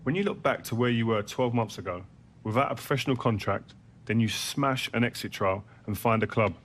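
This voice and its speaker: A man's voice: medium pitch, brisk, a bit bright, a little hiss or hum, lightly acted. A man playing an easygoing ex-footballer who asks probing questions as an interviewer in a game cutscene. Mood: probing, casual.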